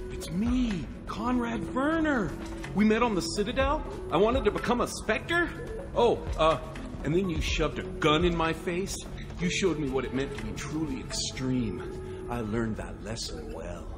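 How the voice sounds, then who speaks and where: Boastful, swooping wannabe space hero. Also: male voice, mid-range voice. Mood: starstruck excited. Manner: self-important bluster, turning defensive and pleading. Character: delusional, boastful wannabe male space hero, eager fanboy. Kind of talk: video game voice acting.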